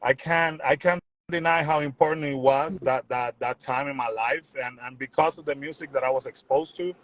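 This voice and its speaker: A young man from Puerto Rico, talking in a relaxed, rambling way as a musician guest on a video call. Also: mid-range voice, moderate pace, no echo, low-quality recording. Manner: relaxed, rambling, repeats words, says "you know what I'm saying". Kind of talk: casual interview chat.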